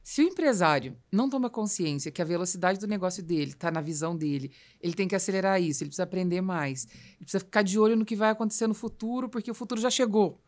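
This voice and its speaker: Brazilian lady hosting a business podcast, quick talker, emphatic, explains stuff in conversation. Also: mid-range voice, a little mellow. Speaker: podcast host.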